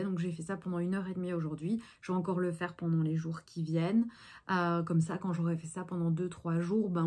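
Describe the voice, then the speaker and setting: A woman's voice: fairly low pitch, a little mellow. A woman, chatting casually about her day in a rambling vlog with many fillers. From Belgium. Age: 30s. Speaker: actress vlogger.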